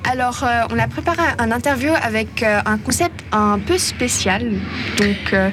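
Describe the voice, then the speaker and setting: A woman's voice: a little high, normal speed. A young woman, a student radio host reading interview questions with giggly energy. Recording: noisy room mic.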